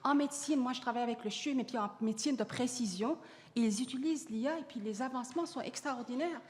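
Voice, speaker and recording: A woman's voice: fairly high pitch, fast talker. A woman whose first language is Arabic, speaking as a panelist in a public discussion in an earnest way, often restarting her sentences. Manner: earnest, many restarts and fillers. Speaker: panelist on AI in education. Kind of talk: panel discussion answering audience. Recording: long hall reverb.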